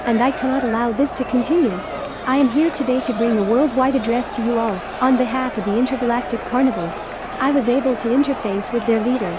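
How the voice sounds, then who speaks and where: A woman playing a solemn non-human heroine who addresses humankind in formal, measured oratory. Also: a little high, close to an everyday voice. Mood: solemn, reproachful. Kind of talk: formal dramatic speech. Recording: very noisy, loud outdoor crowd behind.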